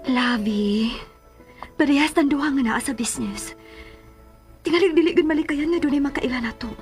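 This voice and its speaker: A woman's voice: high-pitched, moderate pace, faint music behind, lightly acted. An actress playing a coy woman in love, reluctant but smitten, in a radio drama. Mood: coy, hesitant.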